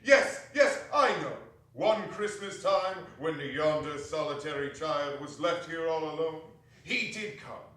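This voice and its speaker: Man playing old miser, remorseful, excitable, theatrical stage reading, bright forceful tone, very echoey. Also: fairly high pitch, measured pace, hardly any pauses. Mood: ecstatic, nostalgic. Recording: very echoey hall, distant mic.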